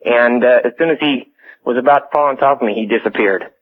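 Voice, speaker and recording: A young American man phoning a radio show to tell a personal story casually, in a halting, hesitant way over a telephone line. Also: medium pitch. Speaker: phone-in caller. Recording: tinny phone line on radio.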